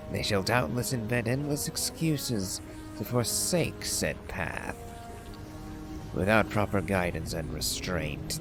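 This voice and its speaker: A man's voice: low-pitched, full character voice. A man voicing a proud, stern ancient dragon from a game, in formal, declamatory phrases. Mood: scornful. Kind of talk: dramatic reading of game lore.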